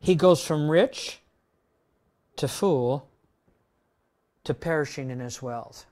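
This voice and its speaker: A man's voice: on the high side, slow. Older male pastor, conversational preaching. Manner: conversational preaching, tag questions, dynamic swings in loudness. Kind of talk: sermon. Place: church room with projector screen.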